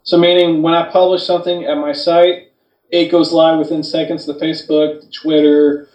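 A man's voice: on the high side, little pitch movement, normal speed, darkish tone. A man co-hosting a podcast, talking casually and bluntly in slangy, unscripted language. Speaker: podcast co-host. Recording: roomy, distant from the microphone.

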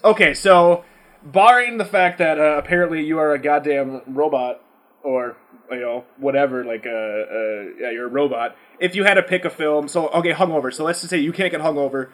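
A slightly tipsy man hosting a podcast, chatting casually and asking questions in an animated, incredulous way, swearing freely. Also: fairly high pitch, fairly quick. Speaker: podcast host.

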